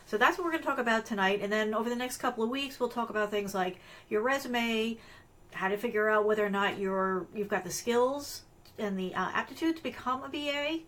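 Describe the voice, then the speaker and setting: A woman's voice: fairly high pitch, a little fast, a bit bright. Chatty woman hosting a career livestream. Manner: chatty, rambling, with many fillers and restarts. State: voice strained from allergies. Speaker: host of a live career webcast. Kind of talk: casual live-stream talk with screen sharing.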